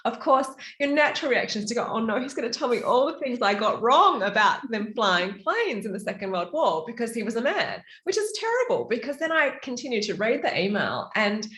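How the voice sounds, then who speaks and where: A woman with an Australian accent, an author chatting in a virtual panel, animated and full of fillers. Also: fairly high pitch, fairly quick, few pauses, reverberant.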